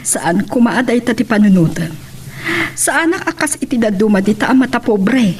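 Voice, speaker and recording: Welcoming mom, middle-aged, Filipino accent, radio drama, played by a woman, voice on the high side. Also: lively intonation, moderate pace, a little mellow, a little hiss or hum, close to an everyday voice. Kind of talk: Ilocano radio romance drama acting.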